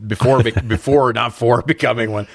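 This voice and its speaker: A man's voice: medium pitch, fairly even intonation, normal speed, gravelly, dry recording, studio quality. A man co-hosting a casual, comedic film recap, sarcastic and sweary, often talking with amusement in his voice. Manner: sarcastic, profane, laughs through remarks. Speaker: podcast co-host recapping films.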